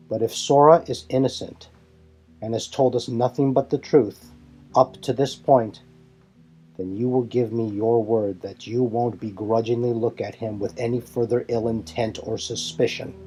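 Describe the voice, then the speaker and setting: A man voicing a solemn, resolute warrior leader in a fan audio drama, speaking in formal, earnest, deliberate phrases. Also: mid-range voice, warm tone, lightly acted. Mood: stern, firm.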